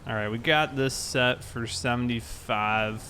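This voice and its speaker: A man's voice: mid-range voice, measured pace, sharp tone, a little hiss or hum. An American man chatting casually and in a laid-back, conversational way while livestreaming a game.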